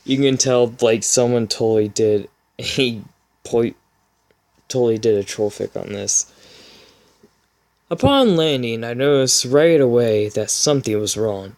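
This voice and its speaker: A man's voice: medium pitch, expressive, measured pace, pauses often, a little mellow. A man reading a story aloud as a channel host, in an amused dramatic style with giggly asides of commentary.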